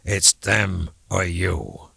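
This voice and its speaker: A man's voice: sits low, sing-song, very slow, creaky, sharp tone, husky, dry recording, heavily acted. A man voicing a hard, menacing small-town boss in an audiobook, speaking in a commanding, threatening way.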